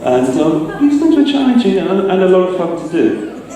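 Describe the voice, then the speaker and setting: A man's voice: high voice, big pitch swings, normal speed, mellow. Middle-aged man, distant in a very echoey room. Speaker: sound designer giving a talk. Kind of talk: informal public talk with slides. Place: large echoey room, speaking to an audience.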